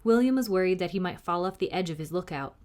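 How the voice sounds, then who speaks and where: A woman narrating a children's book aloud as a storytime reader, in an expressive style. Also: lowish voice, fast.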